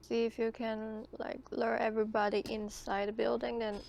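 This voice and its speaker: Woman, game player, gravelly fry, level intonation, higher pitch, tentative, casual. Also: flat delivery, normal speed, darkish tone.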